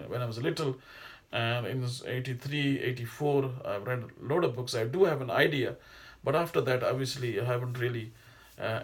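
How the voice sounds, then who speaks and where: A middle-aged man, whose first language is not Arabic, giving an informal religious lesson in a rambling, digressive way with many hesitations and restarts. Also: medium pitch. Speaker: Islamic teacher.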